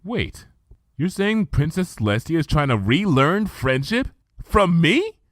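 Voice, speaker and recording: A man's voice: fairly high pitch, big pitch swings, measured pace, no echo, pitched above the performer's own voice. An eager, chattering young unicorn mare from a fan-made storybook, as a man reading aloud puts her on, bookish and earnest. The voice sits far above his usual one and bounces across a very wide range. The sound is dry and close. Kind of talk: fan fiction audiobook reading.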